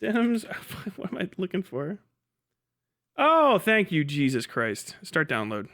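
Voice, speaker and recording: A man with a somewhat high-pitched voice talks casually as a livestream host. He is blunt and foul-mouthed, rambling through commentary and often muttering to himself as he goes. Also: wildly swooping pitch, slow, pushed and bright. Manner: profane, blunt; rambling, muttering asides to self.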